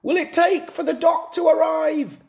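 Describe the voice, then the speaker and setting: A reader voicing a desperate drowning man in a comic poem, with high squealing cries. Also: fairly even intonation, a little slow, gravelly, a little mellow, exaggerated voice. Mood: frantic.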